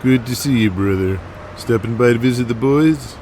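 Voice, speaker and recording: A man's voice: mid-range voice, normal speed, slight background noise, pitched above the performer's own voice, close to an everyday voice. A man voicing a friendly champion wrestler in an audio drama, hearty and chummy. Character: friendly, eager male champion wrestler greeting an old pal. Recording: loud music under the voice.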